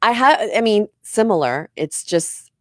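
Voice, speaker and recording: A woman hosting a casual interview, upbeat and quick to chime in with short affirmations. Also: medium pitch, expressive, a bit bright, dry recording, studio quality. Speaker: host.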